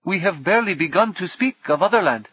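Man doing a talking monkey, lilting, calm, higher pitch, husky. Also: fast, no echo, dull audio, exaggerated voice.

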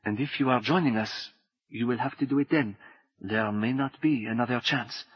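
A man doing a soft-spoken French woman. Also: mid-range voice, moderate pace, husky, dry recording, lo-fi sound, lightly acted. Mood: grave, urgent. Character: quiet French woman contact, formal and urgent. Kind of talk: audiobook character voice.

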